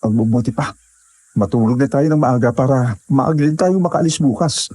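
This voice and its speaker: A man playing a steady young hiker in an audio drama, calming his friends. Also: medium pitch, moderate pace, slightly rough, natural voice.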